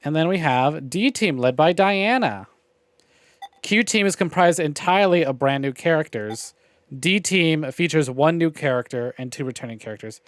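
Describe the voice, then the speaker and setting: A man chats casually as he streams a story game. His voice sits a little high and is recorded very dry and close. He is opinionated, wry and freely profane. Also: lively intonation, moderate pace, no echo. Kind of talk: casual livestream commentary on a visual novel game.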